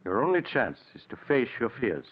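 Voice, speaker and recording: Tinny old-radio authoritative doctor. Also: male voice, mid-range voice, big pitch swings, slightly rough. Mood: firm, urging. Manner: measured, deliberate, urging. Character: probing, firm, authoritative male doctor. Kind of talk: 1940s radio drama acting. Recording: tinny, narrow-band 1940s radio broadcast.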